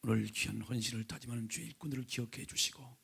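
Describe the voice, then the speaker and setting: A middle-aged male pastor preaching with a hoarse, raspy voice from vocal nodules, often sinking to a breathy near-whisper and asking the congregation questions. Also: medium pitch, little pitch movement, quick pace, a bit bright. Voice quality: raspy, gravelly. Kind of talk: sermon with opening prayer. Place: church sanctuary, at the pulpit.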